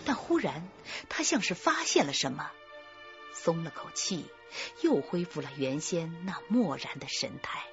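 A woman's voice: fairly low pitch, sing-song, a little slow, fairly bright tone, faint music behind. A woman reading serious, suspenseful scripted narration for a crime radio drama. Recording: slightly dull lo-fi audio.

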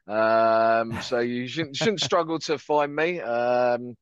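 A man's voice: medium pitch, slow, clean tone, no echo. Chatty man on a lo-fi video call. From France. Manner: upbeat, chatty, self-deprecating humour. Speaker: guest. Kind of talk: casual podcast chat. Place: home office, video call. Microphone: headset. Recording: dull, slightly lo-fi call audio.

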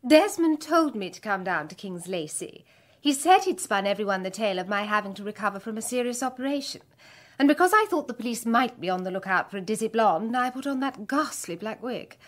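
Radio play: woman voicing a sardonic blonde crook, slightly high voice. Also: expressive, a little fast, close to an everyday voice. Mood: scornful, brazen. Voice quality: bold, sardonic delivery. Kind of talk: radio drama acting.

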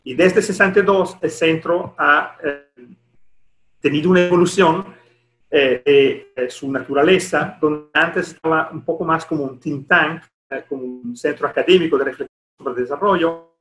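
Middle-aged italian guy presenting formally over video call, bit high voice, halting, echoey. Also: a bit bright, faint music behind. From Italy. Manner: halting, searching for words in non-native Spanish. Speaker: deputy director at an international organisation, presenting. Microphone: headset on a video call. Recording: roomy echo on video call.

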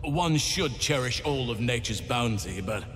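A man playing a grave, wry elf druid warrior in a video game, measured and formal. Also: mid-range voice, lively intonation, fairly bright tone. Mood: wry, grateful. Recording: reverberant stone room, music behind.

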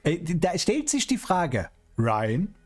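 A man's voice: a little high, huge pitch swings, slow pace, darkish tone, soft background music. A middle-aged man streaming an online roleplay game, chatting casually in character. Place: indoor streaming room, music behind.

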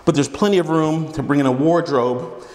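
A man's voice: a little high, moderate pace, darkish tone. A man with a Virginian accent, a real estate agent walking through an empty house and explaining its features in a friendly, explanatory way. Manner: friendly, explanatory, corrects himself mid-sentence. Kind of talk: walkthrough home tour narration. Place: empty house with bare walls and wood floors, indoors. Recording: echoey empty rooms.

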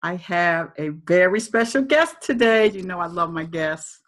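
A woman's voice: on the low side, lively intonation, a little slow. A middle-aged woman, interviewing conversationally as a talk show host on a video call. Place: indoor room.

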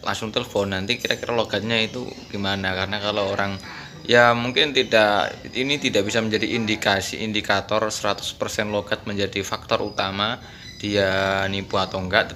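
A man in his thirties with a thick Javanese accent speaks straight to camera in an earnest, plain-spoken vlog. His voice sits fairly low. A faint hum of room noise runs underneath. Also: sharp tone. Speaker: furniture seller. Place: indoor room.

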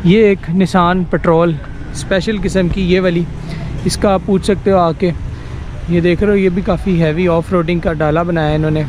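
Pakistani guy on a walking tour outside, fairly high voice, street noise all around. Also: steady tone, normal speed. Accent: Pakistani Urdu. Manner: chatty, pointing things out, frequent "ji" and "dekh rahe ho". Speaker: vlogger touring a vehicle workshop. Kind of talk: casual walk-and-talk tour. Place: outdoor workshop yard. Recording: outdoor street noise behind voice.

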